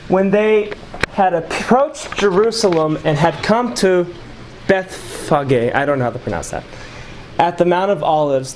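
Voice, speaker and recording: A man's voice: sits high, a little mellow, slight background noise. A man leading an informal Bible study, reading scripture passages aloud and explaining them conversationally to a small group. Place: small room with a group of listeners.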